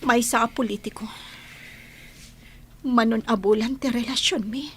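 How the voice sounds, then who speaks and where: An actress playing a defiant, bitter woman in a radio drama, with a husky voice and a Filipino accent. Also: on the high side, steady tone, measured pace, a bit bright, a little hiss or hum. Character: defiant woman confessing to an affair, bitter and unapologetic.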